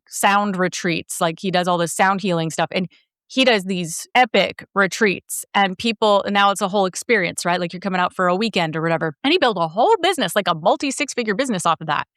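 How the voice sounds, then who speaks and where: A woman's voice: medium pitch, a bit bright, dry recording, clean studio sound. A middle-aged woman coaching a guest, talkative and encouraging, explaining with examples. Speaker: business coach and host. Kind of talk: coaching conversation.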